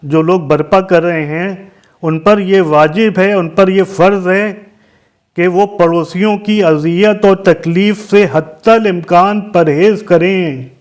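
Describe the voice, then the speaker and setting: Male cleric, high-pitched, Lucknow Urdu accent; deliberate, emphatic religious guidance with repeated phrases and synonyms. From Lucknow, Uttar Pradesh, India.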